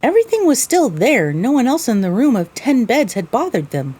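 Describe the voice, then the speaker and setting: A woman's voice: a little high, sing-song, moderate pace, warm tone, slightly rough. A woman narrating a scripted first-person story.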